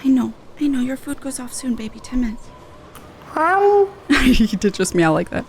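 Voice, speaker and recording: A woman's voice: high-pitched, sing-song, moderate pace, gravelly, a little mellow, a little hiss or hum. A playful, sweary woman chatting casually while streaming an online game. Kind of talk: casual stream chat and reading game dialogue. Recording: music in the background. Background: game music.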